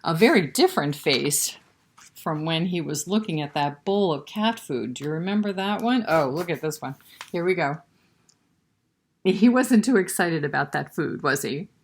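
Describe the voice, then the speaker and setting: A woman's voice: sits low, lively intonation, moderate pace. A woman hosting a library storytime, reading a picture book and chatting directly to young children with questions. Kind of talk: children's picture-book read-aloud and chat.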